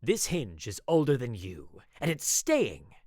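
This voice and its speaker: A performer voicing a gruff, stubborn older husband with a rough, harsh voice, in an audio drama. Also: mid-range voice, huge pitch swings, measured pace, a little mellow, dry recording, clean studio sound.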